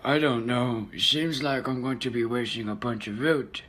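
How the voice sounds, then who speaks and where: Old grandpa played by a man, hesitant and grumbling, folksy talk, mid-pitched, for a radio commercial sketch. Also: moderate pace, fairly bright tone, slight background noise, close to an everyday voice. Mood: grumbling, doubtful.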